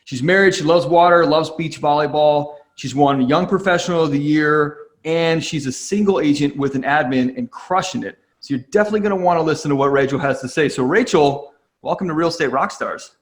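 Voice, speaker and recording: A man's voice: a little high, fairly quick. A man filling in as podcast host, introducing and interviewing a guest in an upbeat way.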